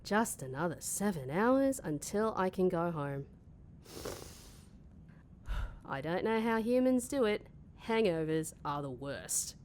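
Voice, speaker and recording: Casting: blunt, irritable hungover woman, lowish animated voice, sarcastic, audio comedy with slight background rumble. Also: takes it slowly, pauses often. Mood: weary. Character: grumpy, hungover, sarcastic woman working weekend office shift. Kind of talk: comedy audio drama acting.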